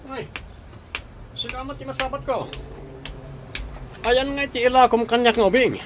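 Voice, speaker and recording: A shady, conspiring henchman in an Ilocano radio drama. The voice is strikingly high for a male and has an Ilocano accent, with faint noise around it. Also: steady tone, moderate pace. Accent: Filipino, Ilocano. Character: shady high-voiced accomplice arranging a child abduction.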